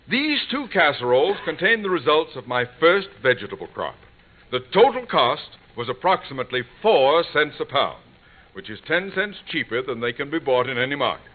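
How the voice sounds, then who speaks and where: Radio sitcom husband: stubborn, self-important, lively swooping delivery, thin old broadcast sound. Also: male voice, fairly high pitch. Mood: proud. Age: middle-aged. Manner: hesitant, blustering, self-important. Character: proud, stubborn bumbling middle-aged husband and amateur gardener. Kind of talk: 1950s radio sitcom acting. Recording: tinny vintage radio broadcast recording.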